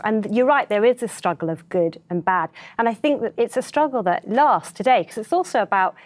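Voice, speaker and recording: A woman talks as a guest in a studio interview. She is earnest and explanatory, with a conversational flow and an average pitch. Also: a little mellow. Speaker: children's author, interview guest.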